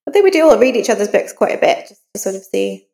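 A woman's voice: mid-range voice, big pitch swings, quick pace, vocal fry, a little mellow. A woman giving informal interview answers as a guest author, thinking aloud as she talks. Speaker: crime novelist, podcast guest. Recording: reverberant hall-like echo.

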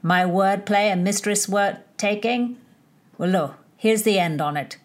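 A woman voicing a brash, drunken young male soldier in a storybook reading, talking loudly and mockingly. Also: medium pitch, a little slow, lightly acted. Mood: boisterous. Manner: loud, boisterous, mocking.

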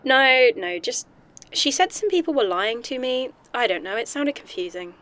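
A woman's voice: high voice, expressive, moderate pace, a bit bright. An actress playing a curious, wry young woman scientist in an audio drama, speaking casually and sardonically. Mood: shaken.

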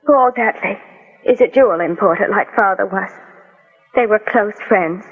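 An actress playing an anxious, bereaved young American daughter in a 1940s radio drama, polite and earnestly pleading. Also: female voice, medium pitch, normal speed, husky. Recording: tinny, reverberant old radio recording with music.

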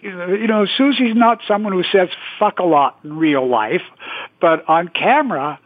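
A man with a high, husky voice and a lively, swooping delivery chuckles as he talks. The sound is tinny and phone-like, with faint music behind. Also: unhurried. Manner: amused, warmly admiring, chuckles. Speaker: TV director. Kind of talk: interview.

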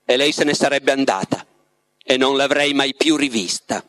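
A man's voice: medium pitch, normal speed, a bit bright, dry recording, lightly acted. Man reading as a tormented, lovestruck writer, confiding. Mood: wistful, confiding. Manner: confiding, dramatic, addresses the listener with rhetorical questions. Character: lovestruck, tormented male writer in a psychiatric clinic. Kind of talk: dramatic literary reading.

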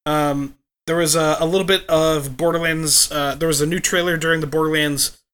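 A man co-hosts a podcast in an easygoing, chatty way, sounding stuffy from allergies. His voice is bright and sharp, sitting a little higher than most men's. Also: fairly even intonation, normal speed, clear, resonant tone. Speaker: podcast co-host. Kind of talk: casual chat.

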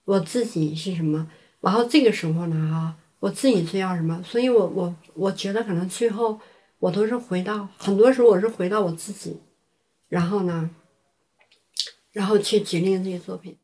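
Woman artist from China, medium pitch, interview talk, halting, repetitive, thinking aloud. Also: warm tone.

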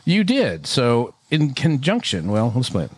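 A man's voice: medium pitch, huge pitch swings, a little slow, slight background noise. A man hosting a casual podcast, joking and teasing with his co-host. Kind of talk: casual chat.

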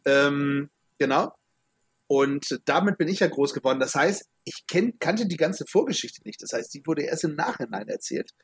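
A man's voice: a little high, expressive, moderate pace, breathy edge, dry recording. A man hosting a podcast in casual chat, chatty and full of fillers. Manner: chatty, many fillers, talks of his age. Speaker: podcast host.

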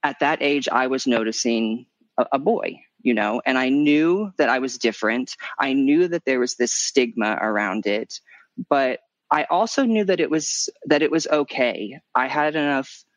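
A man in his thirties with a light, higher voice, talking openly in a guest interview and lifting his pitch high when animated. His pitch sits around the middle for a man. The recording is dry and close, with no room sound. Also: normal speed, no room sound. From Missouri. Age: 30s. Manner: expressive, swoops up in pitch when animated, laughs often. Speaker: podcast guest, memoir author. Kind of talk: candid conversational interview.

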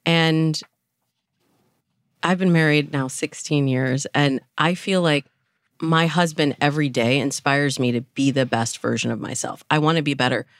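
A woman giving articulate, measured explanations as a dating coach in a podcast interview. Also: low voice, dry recording, clean studio sound.

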